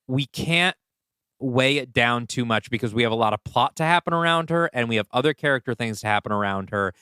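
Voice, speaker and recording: A man's voice: medium pitch, expressive, brisk, pushed and bright, clean tone, no room sound. A man co-hosting a podcast, chatting casually and thinking aloud as he works through story ideas, with lots of "like" along the way. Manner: thinks aloud, often says "like". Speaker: podcast co-host and writer. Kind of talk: casual brainstorming chat.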